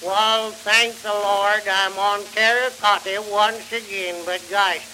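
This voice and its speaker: Through the crackle of an early phonograph comes a jovial old country yarn-spinner. His voice is high and very sharp, with a rural American accent. He tells his homespun tales in the style of an early 1900s comic monologue. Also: steady tone, slow, heavily acted. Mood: folksy, jovial. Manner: homespun, chuckles between anecdotes.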